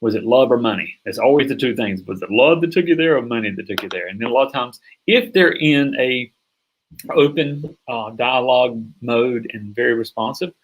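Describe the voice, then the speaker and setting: A middle-aged man teaching a sales course in an energetic, emphatic way, often stopping to check that listeners follow. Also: mid-range voice, normal speed, husky, low-quality recording. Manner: energetic, emphatic, acts out sample calls. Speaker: sales coach. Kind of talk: online course lecture.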